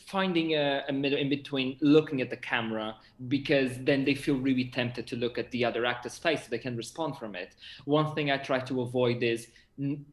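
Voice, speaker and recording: A man's voice: mid-range voice, a bit bright. A man in his twenties with a Portuguese accent, chatting enthusiastically about his work in a casual interview over a video call. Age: 20s. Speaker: theatre director and actor. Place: indoor room, on a video call. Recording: roomy, echoing video call audio.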